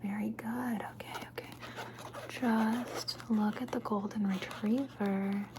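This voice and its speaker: A woman's voice: medium pitch, fairly even intonation, takes it slowly, noisy background. A woman performing an ASMR personal-attention roleplay, soothing and playful, repeating words and short phrases over and over.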